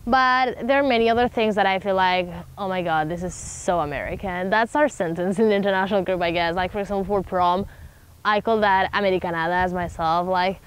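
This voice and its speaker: A woman's voice: mid-range voice, a little fast, smooth, poor audio. A teenage girl from Spain with a Spanish accent, a native Spanish speaker giving a documentary interview in a chatty way, full of "like" and "I don't know". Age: teens. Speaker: student interviewee.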